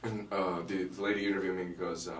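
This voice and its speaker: A man's voice: low voice, level tone, fast, distant mic. A young man from Miami, a comedian guest, chatting casually in an interview and telling stories with dry, self-deprecating humor. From Miami, United States. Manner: laid-back storytelling with dry self-deprecating humor and frequent laughs. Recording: echoey room, voice from off-mic.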